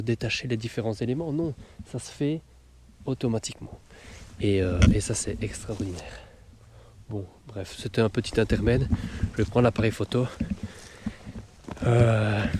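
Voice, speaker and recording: A man with a Belgian French accent, chatting enthusiastically in a vlog while taking photos outdoors in a misty forest. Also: mid-range voice. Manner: chatty, enthusiastic, laughs often. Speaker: photographer vlogger. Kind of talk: casual vlog chat. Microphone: action camera. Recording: noisy outdoor camera audio.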